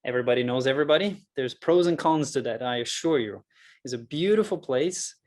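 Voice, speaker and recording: A man in his thirties from rural Norway, speaking English with a Norwegian accent as he gives a personal, earnest presentation with deliberate, emphatic pauses. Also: mid-range voice, lively intonation, a little mellow. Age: 30s. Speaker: public speaker, mental health project founder.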